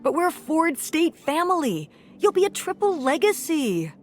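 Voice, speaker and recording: A woman's voice: sits high, big pitch swings, moderate pace, a little hiss or hum. An actress playing a middle-aged mother in a story game, nostalgic about her college days and firm with her daughter. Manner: warm nostalgic, then firm and dismissive. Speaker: game character. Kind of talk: voiced game dialogue.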